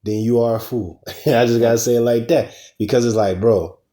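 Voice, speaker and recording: A man of ordinary pitch delivers a religious lesson in a relaxed, street-slang style. He curses freely and pushes his voice up to stress his points. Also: normal speed. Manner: loose, slangy preaching with cursing. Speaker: teacher in a religious ministry. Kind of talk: casual scripture lesson.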